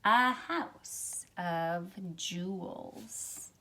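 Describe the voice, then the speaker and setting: A middle-aged woman reading a picture book aloud to young listeners in an expressive, measured storytelling way. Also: fairly low pitch. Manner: expressive, measured storytelling with drawn-out phrases and softened asides. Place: small carpeted room at home, indoors. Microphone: phone at arm's length.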